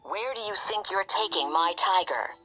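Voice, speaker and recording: A woman voicing a formal male parade master with a deep voice in a storybook read-along. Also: lively intonation, normal speed, pushed and bright, faint music behind. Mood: stern.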